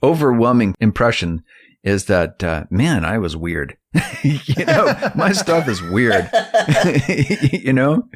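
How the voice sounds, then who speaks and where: A man's voice: mid-range voice, very animated, slow pace, breathy edge. A man co-hosting a podcast in a casual conversational style. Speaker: podcast co-host.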